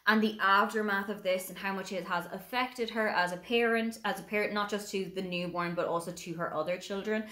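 A woman's voice: mid-range voice, steady tone, fairly quick, hardly any pauses, fairly bright tone. A woman in her thirties with an Irish accent, earnestly recommending books to camera from a room at home. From Ireland. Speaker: book reviewer. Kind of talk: casual book review to camera. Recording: roomy home recording.

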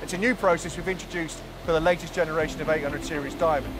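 A man in his forties leading a tour of a large factory floor, explaining each production step in a calm, clear way. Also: a little high, quick pace, background noise. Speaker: factory guide. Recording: music bed under voice.

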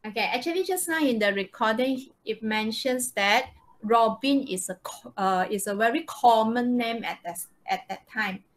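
A woman's voice: on the high side, normal speed. A woman with a Malaysian accent teaching a live online English lesson, informal and often checking with short tag questions. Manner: informal, checks with "okay" and "right?". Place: online video call, presenting slides.

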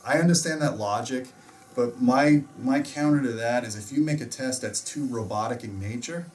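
A middle-aged American man talks through his points as a host in a casual discussion. His voice sits at an average pitch, and he is emphatic and opinionated. The microphone is some way off in the room. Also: normal speed, darkish tone. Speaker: host and audio reviewer. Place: small indoor home theater room. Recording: distant mic in a room.

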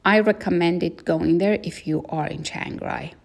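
Low, gravelly-voiced woman reviewing a trip. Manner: unhurried, frequent fillers, plain opinions. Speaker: travel vlogger. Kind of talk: casual spoken travel review.

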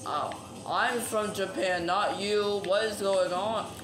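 A woman's voice: mid-range voice, steady tone, takes it slowly. A young woman playing a video game with a friend, teasing and joking in casual chat. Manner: teasing, exasperated, jokey. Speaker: game player. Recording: echoey room, game music behind.

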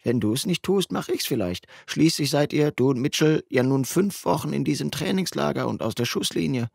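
Audiobook role: goading teenage best mate male narrator, casual and teasing, pitched up. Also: animated, brisk, vocal fry, a little mellow, husky, no echo, clean studio sound, heavily acted. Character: teenage boy, cheeky, goading best friend.

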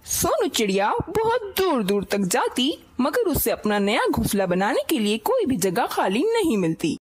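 Animated high-pitched woman storyteller. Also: moderate pace, a little hiss or hum. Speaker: narrator. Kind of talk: scripted children's story narration.

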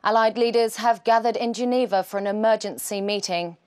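A woman's voice: medium pitch, fairly even intonation, a little fast, darkish tone, natural voice. A woman in her thirties playing a serious television news anchor in a game cutscene, reading formally. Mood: grave.

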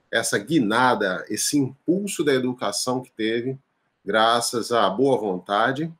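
A man's voice: on the low side, fairly bright tone. A man in his forties from Rio de Janeiro, answering interview questions as a teacher over a video call from home. He talks expansively and often adds tag questions. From Rio de Janeiro, Brazil. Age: 40s. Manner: expansive, gestures freely, frequent tag questions. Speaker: teacher and musician, guest. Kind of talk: relaxed conversational interview answers. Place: home room, indoors. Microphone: video call, laptop webcam.

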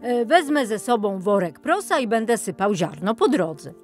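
A woman's voice: fairly high pitch, very animated, moderate pace, faint music behind, pitched above the performer's own voice. Woman voicing an emphatic woodcutter man. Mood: brisk, instructing. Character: poor woodcutter man, practical, instructing his wife.

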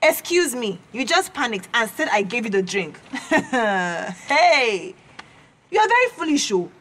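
An actress playing an indignant young student with a Nigerian accent, sharp-tongued and confrontational in a radio drama. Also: female voice, on the high side, very animated, measured pace, slight background noise. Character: feisty, indignant young female student standing her ground.

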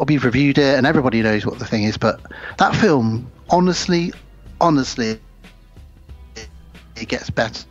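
A man's voice: mid-range voice, dull audio. A man co-hosting a podcast, chatting casually and enthusiastically about films, rambling with many ums. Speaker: podcast co-host. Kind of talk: casual film chat.

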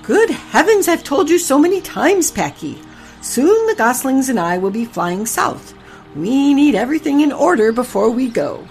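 A woman's voice: sing-song, normal speed, gravelly, soft background music, full character voice. A woman voicing a bossy, fussing mother goose in a storybook read-aloud. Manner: high, loud, fussing.

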